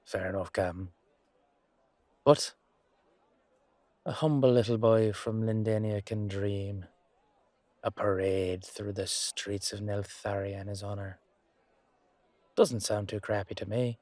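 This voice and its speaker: A weary, humble knight, played by a man in a fantasy audio drama. He speaks in a low, unhurried voice with wry, casual hesitation. The recording is close and dry. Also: fairly even intonation, darkish tone, dry recording. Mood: wry, hopeful. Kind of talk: amateur fantasy audio roleplay acting.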